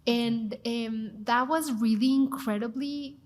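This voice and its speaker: A woman with a Mexican accent talks with enthusiasm and emphasis. Her voice sits fairly high. She speaks as a guest in a panel conversation. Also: fairly even intonation, slow. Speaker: TV cooking show host, guest.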